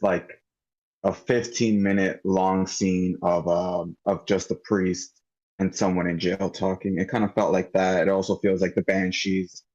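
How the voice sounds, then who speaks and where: A man with a very low voice offers casual critique in an online meeting. He is hesitant, feeling out his thoughts aloud. The audio is dull and lo-fi. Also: darkish tone. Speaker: participant giving script feedback.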